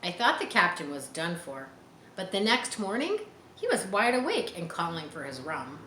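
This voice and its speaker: Bright-voiced woman reading a story aloud. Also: lowish voice, sing-song, normal speed. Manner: dramatic, explaining to young listeners. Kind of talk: children's book read-aloud with asides. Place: bright room by large windows. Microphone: camera a short distance away. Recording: roomy, some background noise.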